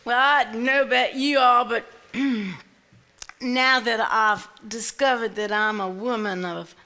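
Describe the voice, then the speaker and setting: A woman's voice: on the high side, fairly even intonation, takes it slowly, bright, forceful tone, exaggerated voice. A middle-aged woman acting a self-satisfied, imperious older lady in a live stage play, recorded with stage noise. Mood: smug. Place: theater stage before a live audience. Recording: noisy live theater stage.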